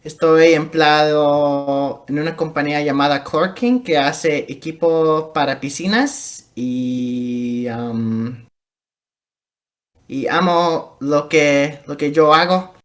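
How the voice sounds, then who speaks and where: A man from the United States speaking as a podcast guest, haltingly, searching for words with English-like "um" fillers. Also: on the high side. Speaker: podcast guest, mechanical engineer.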